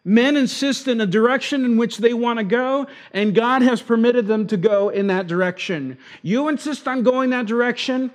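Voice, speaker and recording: A man with Argentine roots preaching an expository sermon, slowing down to stress key words. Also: very high voice. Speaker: pastor.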